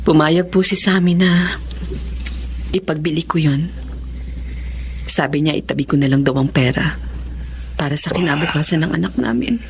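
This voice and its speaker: A woman's voice: low voice, normal speed, creaky, pauses often, slight background noise. A woman playing a betrayed, heartbroken wife and mother in a Filipino radio drama, with a Filipino accent and an emotional, pleading delivery. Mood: sorrowful.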